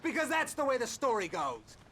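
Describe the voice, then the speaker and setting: Brash, swooping high-pitched gunman. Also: very animated, brisk, bright, forceful tone, heavily acted. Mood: brash, urgent. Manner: brash, urgent, taunting. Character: cocky, pushy man with a gun, hurrying others on. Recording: game music behind.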